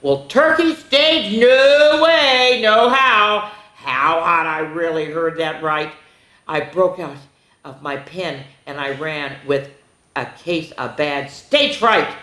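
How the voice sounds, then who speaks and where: A woman voicing a proud, excitable turkey who tells its tale in dramatic picture-book rhyme. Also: deep voice, slow, full character voice. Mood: excited, dramatic. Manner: boastful, dramatic rhyming storytelling. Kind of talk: rhyming picture-book read-aloud. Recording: roomy, echoing room sound.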